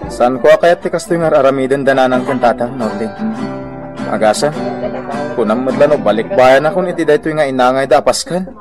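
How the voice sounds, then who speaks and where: A man's voice: medium pitch, animated, a little fast, over music, close to an everyday voice. A man with a Filipino accent playing a weary, frustrated, dutiful young son in a radio drama.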